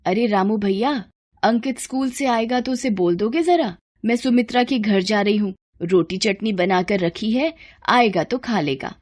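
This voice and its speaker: A woman voicing a humble, loving village midwife and mother in a story, soft and homely in manner. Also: medium pitch, measured pace, no echo, studio quality, close to an everyday voice. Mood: busy.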